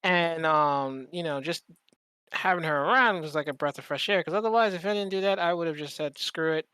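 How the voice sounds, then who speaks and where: A man hosting a podcast in casual conversation, speaking informally and a little haltingly. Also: a little high, pushed and bright, smooth, no room sound. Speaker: podcast host.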